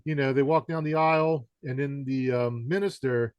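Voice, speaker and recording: A man speaks as a podcast interviewer with an average-pitched voice in a very dry recording. He talks conversationally and wanders through his thoughts, often feeling his way toward a question. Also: steady tone, moderate pace, smooth, no room sound, muffled recording.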